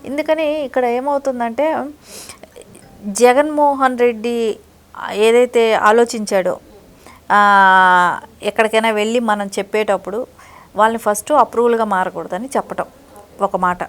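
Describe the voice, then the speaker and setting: A woman's voice: a little high, fairly even intonation, measured pace, long pauses. A woman giving critical, opinionated political commentary as an interview guest, in long conversational explanations.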